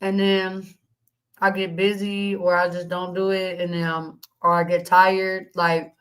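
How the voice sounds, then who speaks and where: Slightly raspy woman chatting on a live stream. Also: on the low side, level tone, a little slow. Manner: blunt, profane, rambling, laughs often. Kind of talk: casual live stream chat reading comments.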